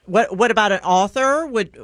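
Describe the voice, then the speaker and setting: A woman's voice: medium pitch, normal speed, no echo, clean studio sound. Upbeat woman radio co-host. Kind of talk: casual radio chat.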